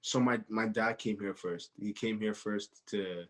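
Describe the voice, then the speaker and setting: Low-voiced young Canadian man in casual conversation. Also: fairly even intonation, unhurried. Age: 20s. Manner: repeats phrases, peppers talk with "you know". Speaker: podcast guest. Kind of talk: casual interview chat.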